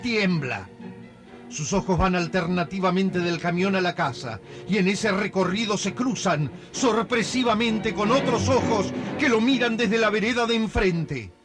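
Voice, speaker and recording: Highish-voiced male radio serial narrator. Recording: music behind.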